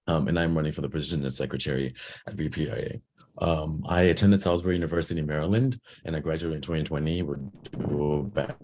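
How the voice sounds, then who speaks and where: A man in his twenties, hoarse from losing his voice, giving an earnest candidate speech over a video call with many ums. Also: extremely low pitch, darkish tone, slight background noise. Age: 20s. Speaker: board candidate.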